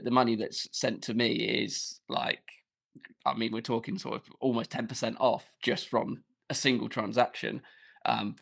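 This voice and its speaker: A man's voice: mid-range voice, creaky, a bit bright. A man, a dance teacher and podcast guest, talking casually with fillers and restarts. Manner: halting, many fillers and restarts.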